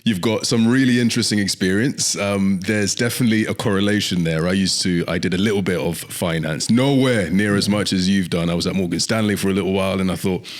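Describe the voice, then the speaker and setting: Low-voiced male interview host. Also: quick pace, few pauses. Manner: friendly, loose questions with restarts. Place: studio.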